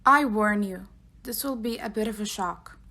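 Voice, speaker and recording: Woman acting a sharp, stern female professor. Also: medium pitch, normal speed. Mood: grave. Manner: formal, clipped, authoritative. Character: stern, commanding female professor at wizard school. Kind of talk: fan audio drama acting.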